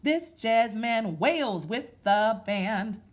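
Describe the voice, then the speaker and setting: A woman with a fairly high, very animated voice hosts a children's read-aloud. She takes her time with a sing-song, rhythmic delivery and talks to her listeners as friends. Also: drawn-out words. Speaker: storytime host reading a picture book aloud. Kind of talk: children's read-aloud with rhythmic sound effects and chatty asides.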